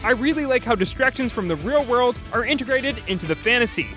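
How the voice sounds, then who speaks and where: A man's voice: very high-pitched, expressive, quick pace. A man reading a scripted video review as its host and narrator. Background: background music.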